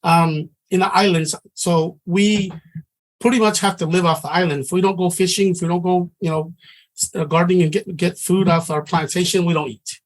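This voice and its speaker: A man's voice: high voice, fairly even intonation, normal speed, low-quality recording. A middle-aged man from Samoa, teaching a trading class in an earnest, conversational way and often restarting his sentences. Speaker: trading instructor.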